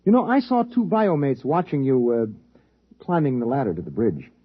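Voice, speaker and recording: Husky, swooping, sardonic American space biologist. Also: male voice, medium pitch, sing-song, moderate pace. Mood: teasing, sardonic. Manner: teasing, sardonic. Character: flippant, needling male military biologist on a space expedition. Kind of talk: 1950s radio drama acting. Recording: dull lo-fi 1950s radio recording.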